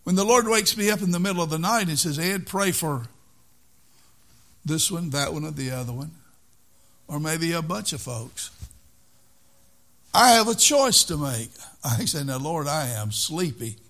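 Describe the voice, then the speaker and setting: A man's voice: fairly high pitch, expressive, normal speed, pauses often, fairly bright tone. An older man preaching a sermon in an earnest way, repeating key phrases for emphasis.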